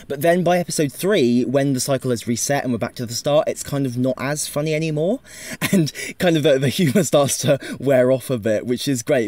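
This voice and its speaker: A man's voice: mid-range voice, darkish tone, dry recording. Tentative man in a dry-sounding podcast chat. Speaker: podcast panelist discussing audio drama. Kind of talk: casual analytical discussion.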